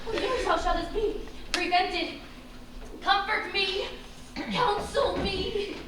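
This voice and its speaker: A woman acting a young noble daughter torn between defiance and pleading, her voice very high. She is heard from far off in a strongly echoing theatre, with faint noise under her. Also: takes it slowly, crowd noise. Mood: despairing. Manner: earnest, shifting from defiance to pleading. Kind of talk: Shakespearean stage acting.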